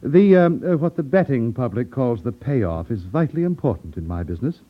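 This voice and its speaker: Suave elderly racketeer , male actor, vintage radio drama: exaggerated politeness over menace, very lively pitch, phone-like old-broadcast sound. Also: a little fast, close to an everyday voice. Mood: brisk, businesslike. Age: older. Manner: over-polite, fussy, hesitant ums, condescending. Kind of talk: 1950s radio drama acting. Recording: tinny, narrow-band vintage radio recording.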